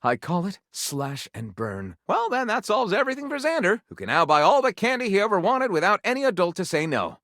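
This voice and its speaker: An actor playing a theatrical, enthusiastic male author pitching his stories as a dating-sim game character. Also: huge pitch swings, a little fast, hardly any pauses, a bit bright, no room sound, studio quality, exaggerated voice. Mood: delighted, excitable. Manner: theatrical, flamboyant, dramatically announcing titles.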